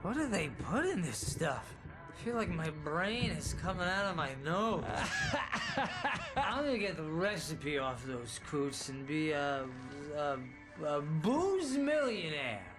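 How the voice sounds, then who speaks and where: An American man playing an excitable, loyal, wisecracking best friend in a video game, blunt and animated in manner. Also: fairly high pitch, measured pace. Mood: drunk, joking. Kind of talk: comic video game acting. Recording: music behind the voice. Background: game score music.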